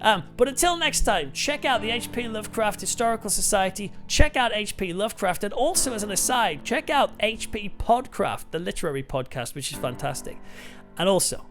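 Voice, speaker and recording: British male reviewer-host: informal, enthusiastic, emphatic, slightly high voice, music bed beneath. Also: expressive, a little fast, fairly bright tone. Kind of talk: casual video review to viewers.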